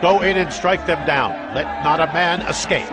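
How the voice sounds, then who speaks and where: A man plays a fierce, commanding warrior king in an audio Bible drama. The high voice barks out orders over music and a clear crowd. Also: moderate pace, natural voice. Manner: barking military orders. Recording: music and crowd noise behind.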